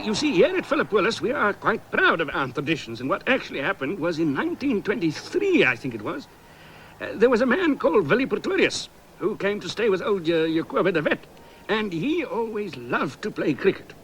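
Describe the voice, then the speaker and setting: A man's voice: high voice, a bit bright, slight background noise, rough recording. A man playing a bumbling, digressive small-town South African cricket commentator in a 1970s radio comedy.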